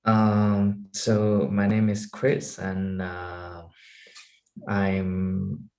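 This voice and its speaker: A man from Hong Kong, an artist guest introducing himself haltingly, with many restarts and fillers. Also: low voice, monotone, a little mellow. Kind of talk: conversational panel talk.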